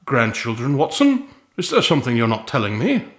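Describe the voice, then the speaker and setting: An actor playing a haughty, witty detective in an audio drama, speaking formally with precise, pompous diction. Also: male voice, medium pitch, huge pitch swings, fairly quick, echoey room. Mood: dryly amused. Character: haughty, witty male detective, grandson of a famous sleuth.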